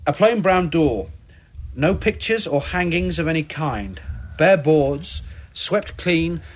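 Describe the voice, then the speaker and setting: An actor playing a police inspector in a radio drama, asking measured, probing questions. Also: male voice, a little high, lively intonation.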